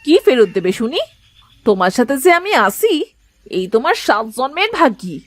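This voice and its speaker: Brief: cartoon wife, scornful nag, bright lively voice, female actor. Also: a little high, moderate pace, no room sound. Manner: scornful, nagging. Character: sharp-tongued scolding village wife. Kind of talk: Bengali cartoon voice acting.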